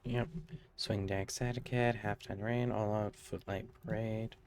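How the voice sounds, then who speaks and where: A man's voice: lowish voice, flat delivery, unhurried, darkish tone. A man giving casual, rambling live commentary as he plays a game on stream. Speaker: livestreamer playing a game. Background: faint game music.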